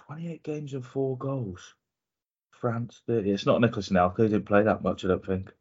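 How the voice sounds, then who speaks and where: A man's voice: lowish voice, fairly even intonation, moderate pace, darkish tone, breathy edge. A man hosting a casual football podcast, upbeat and competitive, thinking aloud while he guesses. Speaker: podcast host. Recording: dull lo-fi podcast audio.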